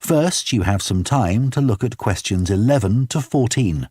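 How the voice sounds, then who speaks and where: A man reading scripted exam instructions as an announcer, in a formal, measured manner. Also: on the low side, lively intonation, a little mellow, breathy edge, no echo, studio quality.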